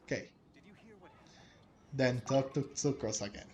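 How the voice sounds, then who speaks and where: A man's voice: mid-range voice, a little slow, darkish tone, slight background noise. A man hosting a game playthrough, reading dialogue aloud and commenting in a rambling, hesitant way. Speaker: gaming video host.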